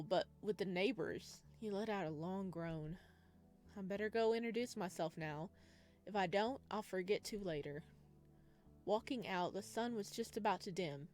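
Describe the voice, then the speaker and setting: A woman's voice: medium pitch, moderate pace, a little mellow. A woman hosting a gaming video, reading visual novel narration aloud and adding casual asides. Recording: music playing behind the voice.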